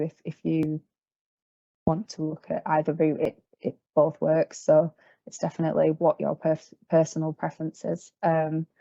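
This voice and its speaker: A woman's voice: sits low, gravelly, mellow, no room sound, lo-fi sound. A young woman in her twenties, a trainee answering questions in a careers talk, hesitant, with many ums and restarts. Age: 20s. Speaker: field service trainee.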